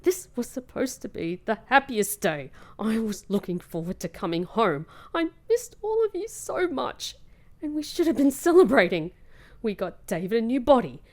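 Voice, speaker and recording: A woman's voice: on the high side, very animated, normal speed, a little mellow. A woman playing a hurt, bitter young woman home from captivity in an emotional audio drama. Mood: angry, anguished. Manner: emotional, dramatic, British slang.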